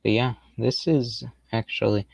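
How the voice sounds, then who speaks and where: A man casually rambling through gameplay commentary on a stream, with a fairly monotone, laid-back and self-deprecating way of talking. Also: fairly low pitch, measured pace, gravelly, dry recording. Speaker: game streamer.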